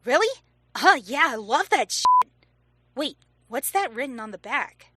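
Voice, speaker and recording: A woman playing a sharp-tongued young woman who scolds her friends with sarcasm. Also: high voice, sing-song, unhurried, breathy edge, dry recording, clean studio sound, full character voice. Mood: snippy.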